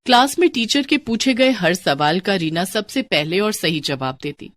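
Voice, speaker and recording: A woman narrating a moral storybook tale in a scripted, expressive way. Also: medium pitch, normal speed, no echo. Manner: expressive, acts out all characters.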